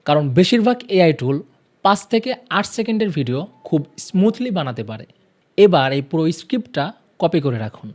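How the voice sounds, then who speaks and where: A man's voice: sits high, big pitch swings, a little fast, darkish tone. A man presenting an instructional tutorial, guiding viewers directly step by step.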